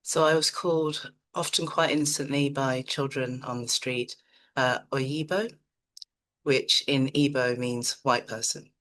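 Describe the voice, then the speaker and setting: A middle-aged woman from Nigeria, a psychotherapist presenting an online seminar in a calm, measured, reflective way. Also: a bass voice.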